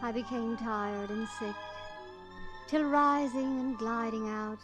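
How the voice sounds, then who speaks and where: An actress playing a dreamy young woman in a 1940s radio drama, reciting lyrically and theatrically over music. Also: a little high. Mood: dreamy, wistful. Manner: slow, lyrical, theatrical delivery.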